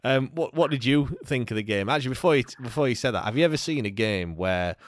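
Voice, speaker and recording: A man hosting a live call-in chat, relaxed and hesitant, with lots of fillers. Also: medium pitch, quick pace, no room sound. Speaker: host.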